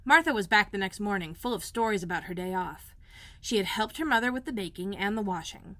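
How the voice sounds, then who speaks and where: A woman librarian reading a children's book aloud in a gentle, clear storytelling manner. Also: medium pitch, animated, a little fast, dry recording. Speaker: school librarian.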